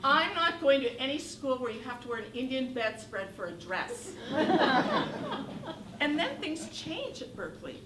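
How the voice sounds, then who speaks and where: Animated woman in her sixties on stage. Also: sits high, a little slow. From Northern California. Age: 60s. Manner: wry, animated comic storytelling. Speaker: solo performer. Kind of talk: comic stage monologue. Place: theater stage with live audience. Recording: echoey room from far off, noisy.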